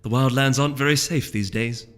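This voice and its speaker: A man's voice: medium pitch, brisk, a bit bright, lightly acted. A man voicing a kindly elderly female pony shopkeeper with a folksy, confiding manner in a storybook reading. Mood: friendly. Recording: long reverb with music underneath.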